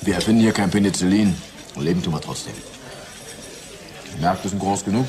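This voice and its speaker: A man's voice: sits low, a little fast, darkish tone, slight background noise, natural voice. An actor playing a jovial, needling, cynical company man in a radio drama. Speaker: company colleague character. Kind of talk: German radio drama acting. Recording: crowd chatter behind the voice.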